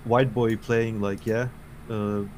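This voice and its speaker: A man's voice: on the low side, level tone, slow pace, a crowd in the background. A man from Russia with a Russian accent, a streamer guest chatting bluntly and swearing freely. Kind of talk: casual chat.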